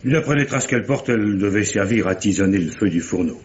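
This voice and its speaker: Expert doctor male actor: lowish voice, dry, pedantic, exacting, muffled vintage radio drama sound. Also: expressive, a little fast, lightly acted. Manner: precise, formal, dryly contradicting. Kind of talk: 1950s-style French radio mystery acting. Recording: dull vintage radio recording, faint noise.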